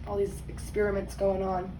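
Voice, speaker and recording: A woman teacher reading a picture book aloud to young children, expressively and deliberately, stressing words and adding conversational asides. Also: medium pitch, completely flat delivery. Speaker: second grade teacher. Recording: roomy echo, distant mic, faint noise.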